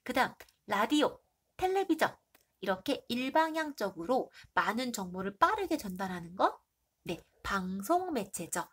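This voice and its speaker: A woman teacher with a stuffy nose and a hoarse throat, reviewing for an exam and asking the class questions she then answers herself. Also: fairly high pitch, very animated, normal speed, a bit bright. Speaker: middle school Korean teacher.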